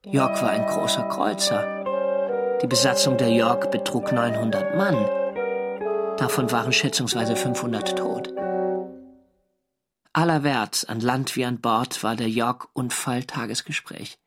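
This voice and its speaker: An actor playing a wartime sailor narrating his diary in a measured, dryly wry way for a radio drama. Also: mid-range voice, fairly even intonation, loud music behind the voice, natural voice. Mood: sober, reporting.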